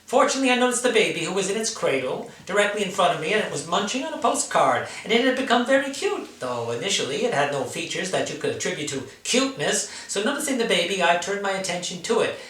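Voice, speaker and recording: A man's voice: high-pitched, sing-song, fast, few pauses, fairly bright tone. A man giving a dramatic spoken-word reading of his own literary writing, with theatrical, rhetorical, emphatic phrasing. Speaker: writer reading own work. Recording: echoey room, distant mic.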